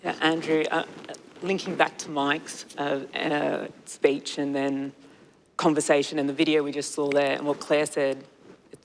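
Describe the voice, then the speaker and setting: A man moderates a panel on a conference stage, his fairly high voice halting now and then on fillers. A faint room ambience hangs behind him. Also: flat delivery, gravelly, slight background noise, poor audio. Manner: hesitant, links ideas with fillers. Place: large conference hall.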